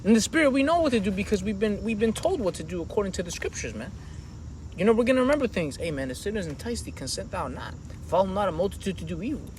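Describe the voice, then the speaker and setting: A man preaching outdoors on a city street, animated and slangy, calling listeners man and brother. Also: high voice, quick pace, a little hiss or hum, poor audio. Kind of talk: impassioned outdoor street teaching.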